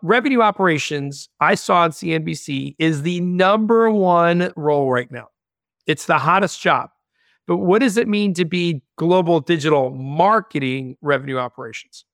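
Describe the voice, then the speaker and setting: A man's voice: a little high, moderate pace, fairly bright tone, no echo, studio quality. A man hosting a podcast interview, talking in a sympathetic, conversational way before asking his question. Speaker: podcast host.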